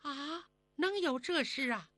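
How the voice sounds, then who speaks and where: A woman's voice: high voice, expressive, takes it slowly, a bit bright, no room sound, clean studio sound, pitched above the performer's own voice, heavily acted. A woman voicing a vain, spoiled young moon goddess in a storytelling tale, coquettish and full of exclamations. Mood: surprised.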